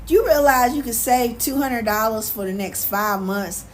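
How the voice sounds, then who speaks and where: Animated, sassy American woman livestreaming. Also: on the high side, moderate pace. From United States. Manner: animated, sassy, colloquial, repeats catchphrases. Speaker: live stream host. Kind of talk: casual livestream chat, answering viewer questions. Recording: clear background noise, ambient sound.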